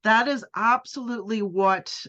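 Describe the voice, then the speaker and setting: A middle-aged woman hosting a podcast in casual, animated chat, often repeating words and restarting her sentences. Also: on the high side, moderate pace. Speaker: podcast host.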